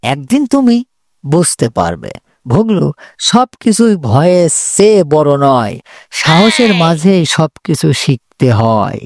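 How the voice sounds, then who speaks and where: A patient uncle figure, played by a man, in a children's moral cartoon. He speaks very slowly with lively rises and falls, reassuring and instructive. The sound is dry. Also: a little high, dry recording, exaggerated voice. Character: patient, encouraging uncle mentoring his young nephew.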